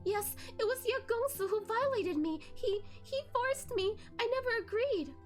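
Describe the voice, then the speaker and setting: Delicate weeping maiden, very high-pitched. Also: female voice, animated, moderate pace, soft background music. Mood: tearful accusing. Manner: weeping, trembling, humble pleading. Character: delicate young woman, tearful, pleading, pitiable schemer.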